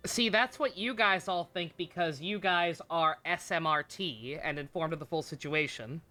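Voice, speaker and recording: A woman's voice: lowish voice, moderate pace, pushed and bright. A woman streaming a game with casual live commentary, blunt and slangy, swearing freely.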